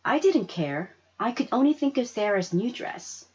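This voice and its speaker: A woman reading a children's story aloud as an expressive narrator. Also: a little high, moderate pace, muffled recording, slight background noise. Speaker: storybook reader.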